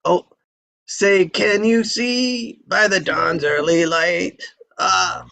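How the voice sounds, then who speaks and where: A man playing a brash, eager young Sicilian bodyguard with a raspy voice in a live script table read. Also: high voice, steady tone, slow pace, bright, forceful tone, exaggerated voice. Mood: excited, pleading. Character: excitable young Sicilian bodyguard, brash, pleading and star-struck by America.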